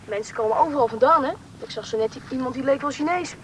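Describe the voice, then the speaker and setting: A woman's voice: high voice, quick pace, a little hiss or hum, close to an everyday voice. A woman playing a polite, inquisitive young boy who also narrates his story in a Dutch radio play. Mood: curious. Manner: inquisitive, wondering.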